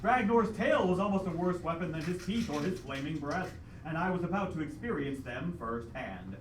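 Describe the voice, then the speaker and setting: A man's voice: on the high side, lively intonation, normal speed, close to an everyday voice. A man playing a heroic adventurer who narrates his dragon quest as a solemn, melodramatic old-time radio play. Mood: desperate, triumphant. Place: live theatre stage with audience. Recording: echoey live hall, distant mic, noisy.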